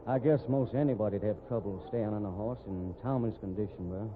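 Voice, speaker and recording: An actor playing a calm, dry-witted rodeo manager who investigates a murder. He speaks in a laconic drawl, in 1940s radio acting style. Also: on the low side, brisk, background noise, natural voice. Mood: grim. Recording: narrow-band old radio broadcast.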